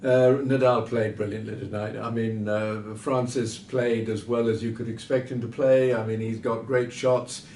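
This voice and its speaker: A man giving off-the-cuff tennis analysis, stating emphatic opinions in measured short phrases. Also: flat delivery, a little mellow. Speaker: tennis pundit. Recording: roomy, distant mic with background rumble.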